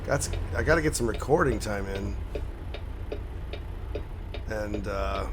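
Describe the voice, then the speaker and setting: An older man with a hoarse, damaged voice, chatting casually and rambling while he plays a truck-driving game. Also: lowish voice, takes it slowly. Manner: casual, rambling, soft-spoken. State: hoarse, voice damaged after illness. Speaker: gaming channel host. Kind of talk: rambling chat over truck-driving gameplay. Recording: loud game truck engine noise behind voice.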